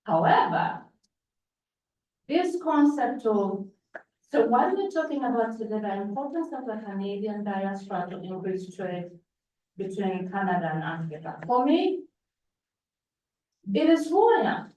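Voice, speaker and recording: A woman's voice: medium pitch, sing-song, a little slow, long pauses, dark tone. A woman in her forties from Uganda whose first language is Luganda, telling a personal story in a conference speech and often restarting phrases. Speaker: panel speaker. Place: large hall at a conference. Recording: echoey hall from far off, dull.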